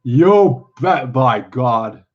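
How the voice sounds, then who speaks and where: A man's voice: mid-range voice, moderate pace, highs cut off, lightly acted. Man voicing a big childlike simple rustic man. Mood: earnest, pleased. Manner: rustic ungrammatical speech, simple earnest wording.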